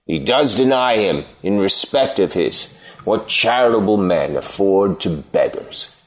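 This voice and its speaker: A man playing a solemn, moralizing stranger, declaiming in a measured way in a Shakespeare play reading. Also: low voice, animated. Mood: grave disapproval. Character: grave, moralizing male stranger condemning ingratitude.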